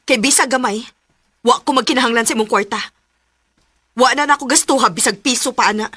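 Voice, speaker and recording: A blunt, bright-voiced young Cebuano daughter. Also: female voice, fairly high pitch, a little fast, husky, no echo. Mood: indignant, firm. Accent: Filipino, Cebuano. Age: young adult. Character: proud, protective young daughter, blunt and stubborn. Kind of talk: radio drama acting.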